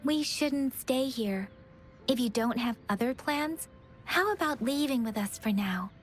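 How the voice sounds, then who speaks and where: A woman playing a calm, caring young female scout in a video game, soft-spoken, measured and polite. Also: sits high. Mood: gentle. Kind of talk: video game character voice acting. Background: background game music.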